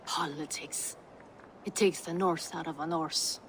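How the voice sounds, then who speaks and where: Low voiced norse woman in a game, dry, formal, loyal, bit of noise behind. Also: fairly even intonation, measured pace, rough recording. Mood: wry. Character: weary, capable Norse woman, sardonic, fiercely loyal. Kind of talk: dramatic video game dialogue.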